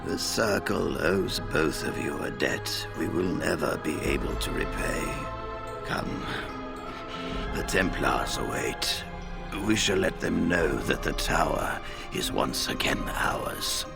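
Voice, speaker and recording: An actor playing a weary, grumbling but dignified old head mage in a video game, gravelly and breathy at the ends of phrases. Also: male voice, rumbling low, slow, loud music behind the voice, full character voice. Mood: weary, grateful. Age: older. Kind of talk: dramatic video game acting.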